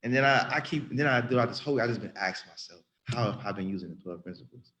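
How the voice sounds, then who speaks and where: A man's voice: mid-range voice, fast talker, fairly bright tone. A man around thirty giving an earnest, rambling spoken testimony in church, often restarting and repeating himself. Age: about 30.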